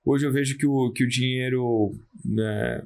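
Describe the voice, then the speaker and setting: A calm Brazilian man in his thirties speaks as a podcast guest. His mid-range voice moves in a halting way, with many restarts and little tag questions. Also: mid-range voice, creaky. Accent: Brazilian Portuguese. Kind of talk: casual interview talk.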